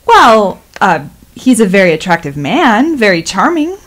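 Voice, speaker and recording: A woman's voice: medium pitch, big pitch swings, unhurried, a bit bright. An actress playing a polite, unmarried friend in a radio play, hesitant and evasive in her answers. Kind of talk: stage-style radio play acting.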